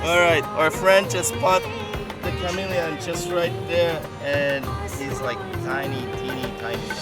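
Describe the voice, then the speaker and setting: A man's voice: a little high, steady tone, a little slow, over loud music. A man from Montreal vlogging from a safari jeep, chatty and teasing his travel partner. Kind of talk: casual travel vlog chat.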